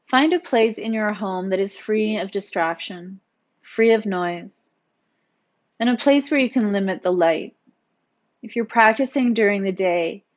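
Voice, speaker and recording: Woman guiding meditation, slightly dull audio. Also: mid-range voice. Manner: soothing, instructional, gently encouraging. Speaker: yoga instructor. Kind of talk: guided meditation narration.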